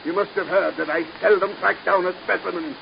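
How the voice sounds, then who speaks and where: An actor playing a suave, menacing middle-aged doctor in an old-time radio drama, formal, deliberate and icily polite. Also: male voice, high voice, steady tone, vocal fry. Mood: cold threat. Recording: muffled, hissy old radio recording.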